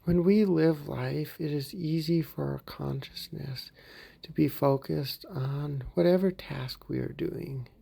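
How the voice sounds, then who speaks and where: Calm male meditation guide, husky and mellow, gentle with soft whispered stretches. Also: a little high, slow. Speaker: therapy group leader.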